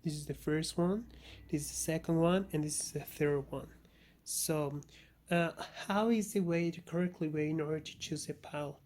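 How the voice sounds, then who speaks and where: A man's voice: on the high side, normal speed, darkish tone. A man who is a native Spanish speaker, with a Spanish accent, informally hosting an oracle card reading and often searching for words. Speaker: tarot and oracle reader, channel host.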